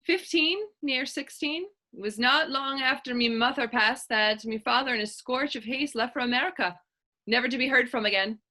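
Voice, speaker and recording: A woman acts out a plucky Irish teenage orphan on the stage. Her voice is fairly high and bright, with a forceful edge. She spins her tale proudly in lilting, old-fashioned Irish phrasing. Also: normal speed. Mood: stoic, reflective. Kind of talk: stage readers theatre acting in dialect.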